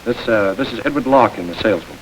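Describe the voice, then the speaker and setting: An actor playing a smooth, calculating middle-aged gang boss who gives orders in a calm, measured way, in 1930s crime film style. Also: male voice, fairly low pitch, a little hiss or hum, close to an everyday voice, rough recording.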